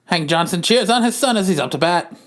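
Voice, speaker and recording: A man's voice: high voice, lively intonation, fairly quick, a bit bright. A man narrating stage directions aloud for an amateur comic reading on a podcast.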